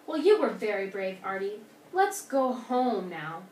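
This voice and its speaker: A woman's voice: mid-range voice, big pitch swings, measured pace, darkish tone, pitched below the performer's own voice. A woman voicing an earnest, emotional camel in a storybook folktale, pleading and exclaiming. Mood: excited, proud. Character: Abu, earnest, emotional young camel hero. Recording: roomy, distant mic, faint noise.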